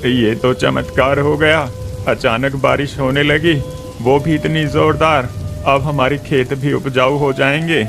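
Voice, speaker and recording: Man as a gravelly village farmer uncle. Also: mid-range voice, fairly even intonation, measured pace, over music. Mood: amazed. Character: amazed, hopeful older village farmer uncle.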